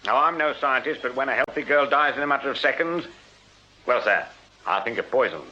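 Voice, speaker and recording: A stern Victorian police sergeant with an English accent. The voice is forceful and bright, the manner dry and commanding, in a slightly dull, noisy radio drama recording. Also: male voice, medium pitch, lively intonation, brisk. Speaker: actor. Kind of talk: British radio drama acting.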